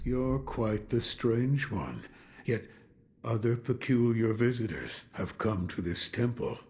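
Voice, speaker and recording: An actor playing a gruff, world-weary old sculptor in a video game, brooding and wry in manner. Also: male voice, on the low side, darkish tone. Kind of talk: video game cutscene acting.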